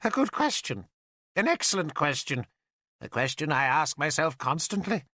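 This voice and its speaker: A man playing a blind old druid, a theatrical, emphatic storybook character who rambles half-mad and is quick to take offence. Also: fairly high pitch, very animated, sharp tone, no echo, clean studio sound, pitched above the performer's own voice, full character voice. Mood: wistful, rambling. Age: older.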